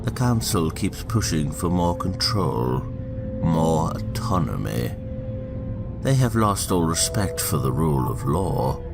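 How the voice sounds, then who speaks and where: A man playing an elderly, manipulative statesman in a fan audio drama, grave and deliberate, with a gravelly, insinuating delivery. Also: extremely low pitch, sing-song, over music, heavily acted. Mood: conspiratorial, bitter.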